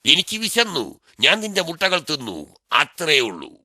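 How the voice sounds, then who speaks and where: A man voicing a smug, brazen snake with a sneering, drawling manner in an animated children's story. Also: on the high side, measured pace, no echo, clean studio sound, full character voice. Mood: smug, defiant. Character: smug, brazen egg-stealing male snake.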